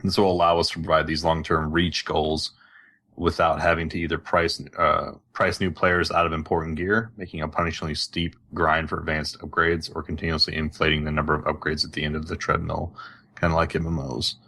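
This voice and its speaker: A man with a very low voice that still sounds bright and forceful. He co-hosts a gaming podcast in a casual, conversational style. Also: level tone, a little fast. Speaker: podcast co-host. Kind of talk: casual gaming podcast chat, some reading aloud.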